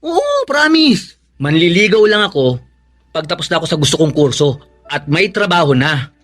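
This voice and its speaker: An actor playing a grateful, studious young son in a Filipino radio drama, with an earnest, polite delivery. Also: male voice, on the high side, sing-song, moderate pace, a bit bright, soft background music. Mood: excited, eager.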